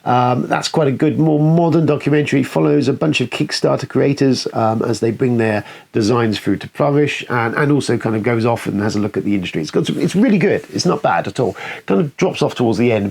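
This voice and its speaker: A man's voice: medium pitch, a little fast, gravelly, a little mellow, slightly rough. A British man hosting a board game video, commenting in a casual, rambling, sweary and self-deprecating way. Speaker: board game review show host.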